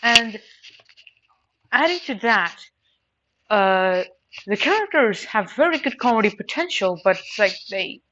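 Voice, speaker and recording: Bright-voiced woman rambling through a casual review. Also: medium pitch, very animated, a little slow, long pauses. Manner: rambling, emphatic, repeats words for stress. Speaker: anime and manga reviewer. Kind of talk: casual unscripted opinion review. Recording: dull lo-fi mic with faint noise.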